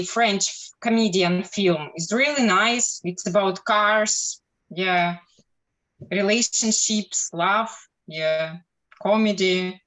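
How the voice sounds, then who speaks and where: Easygoing woman speaking slowly. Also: mid-range voice, a bit bright, rough recording. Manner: easygoing, agreeable, laughs often. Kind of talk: casual group chat in English.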